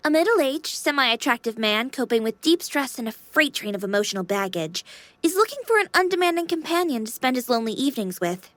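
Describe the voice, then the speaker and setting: Lady doing a cheeky polite ai girl helper for a visual novel, highish and super expressive, sing-song teasing. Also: fairly quick, dry recording. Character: playful, teasing young female artificial intelligence companion. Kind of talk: visual novel game voice acting. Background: music.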